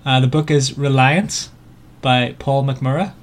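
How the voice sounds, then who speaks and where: A man's voice: medium pitch, measured pace. Hesitant man chatting casually on a podcast. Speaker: podcast co-host. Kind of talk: casual book club chat.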